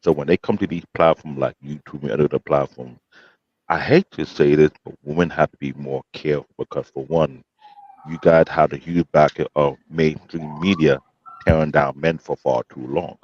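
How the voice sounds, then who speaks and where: A man's voice: steady tone, moderate pace, darkish tone, slightly rough, no echo. A man, speaking earnestly and formally on a live panel, repeating himself for emphasis. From Nigeria. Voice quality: deep voice. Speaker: panel guest. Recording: dull muffled voice-chat audio.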